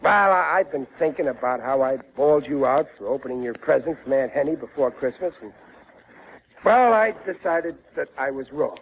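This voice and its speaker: A man's voice: mid-range voice, expressive, normal speed, a little hiss or hum, lightly acted. An American man playing a gullible, blustering working-class father in 1940s radio comedy, stammering through bad grammar. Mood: scheming, blustering. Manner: ungrammatical, stammering, exasperated. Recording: muffled old-time radio broadcast.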